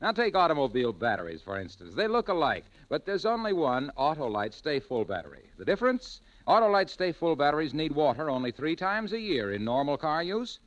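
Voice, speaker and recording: A gag-cracking pitchman for a 1940s radio commercial. His delivery is jaunty and very animated, in a somewhat high voice. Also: brisk, hardly any pauses, poor audio. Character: corny, jaunty male pitchman cracking gags. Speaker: radio announcer.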